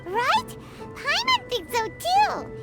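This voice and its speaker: A woman voicing a tiny childlike fairy companion in a video game, cheerful and chatty, with a squeaky voice. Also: wildly swooping pitch, takes it slowly, gravelly, over loud music, exaggerated voice. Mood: chatty, curious. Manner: refers to self in third person, exclaiming. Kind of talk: animated game voice acting.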